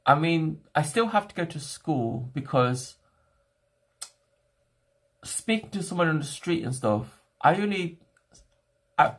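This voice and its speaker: A young man whose first language is not English, chatting casually to his livestream viewers from home and thinking aloud in halting, restarting phrases. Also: on the high side. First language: a home language other than English. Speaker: streamer.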